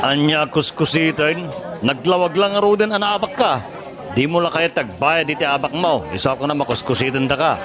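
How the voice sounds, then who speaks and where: A man's voice: fairly high pitch, normal speed, lightly acted. A man with an Ilocano accent playing an aggressive, accusing gambling rival in an audio drama, confrontational and taunting. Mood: accusing, angry. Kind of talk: Ilocano radio-style drama acting. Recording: loud music and crowd noise behind.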